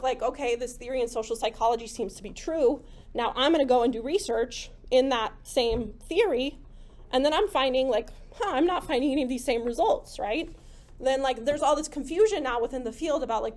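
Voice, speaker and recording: A woman giving a classroom lecture in a conversational way, full of "like" and "right?", her voice slightly hoarse from talking. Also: high-pitched, fairly quick, a little mellow. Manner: conversational, many "like" and "right?" fillers. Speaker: psychology lecturer. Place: lecture room.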